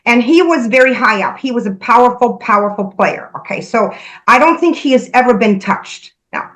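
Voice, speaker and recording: Earnest, slightly high-voiced woman medium. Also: lively intonation, moderate pace, fairly bright tone, rough recording. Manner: earnest, relaying messages she says she hears. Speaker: spiritual medium guest. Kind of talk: casual conversation.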